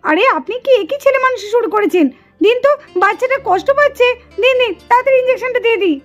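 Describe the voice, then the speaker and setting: A woman performs a stern lady doctor doll in a puppet cartoon. She scolds and insists in a very high, exasperated voice, tutting as she goes, over background music. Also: animated, fast talker. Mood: insistent. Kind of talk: melodramatic puppet cartoon acting. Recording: music behind the voice.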